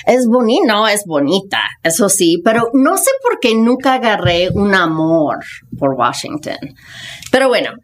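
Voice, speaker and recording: A woman's voice: medium pitch, very animated, unhurried. A woman in her fifties from the United States, a podcast guest, speaks Spanish with an American accent. She talks frankly in casual slang and drops English words into her speech. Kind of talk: casual conversational storytelling.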